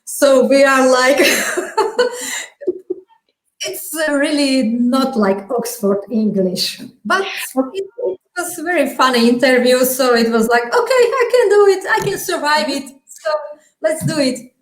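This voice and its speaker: Slovak woman, english isn't her first language, slow and halting, lots of restarts, echoey room. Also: sits high, animated. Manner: halting, searching for words, frequent restarts and laughs. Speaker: interview guest. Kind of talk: casual interview chat.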